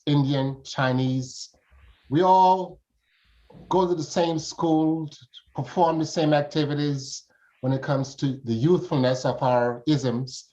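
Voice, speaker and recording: A man's voice: fairly high pitch, darkish tone. Older man on dull, lo-fi audio. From Jamaica. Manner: formal, flowery phrasing. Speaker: interview guest. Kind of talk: conversational interview answers.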